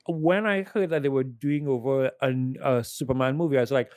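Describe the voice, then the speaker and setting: Man, medium pitch, earnest emphatic podcast review, dry sound. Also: darkish tone, no echo. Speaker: podcast panelist. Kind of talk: casual chat review.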